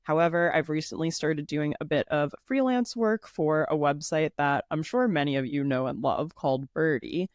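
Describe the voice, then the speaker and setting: A woman's voice: deep voice, moderate pace, hardly any pauses, dry recording. A woman in her thirties hosting a casual solo podcast, chatty and upbeat, sounding a little congested. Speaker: podcast host.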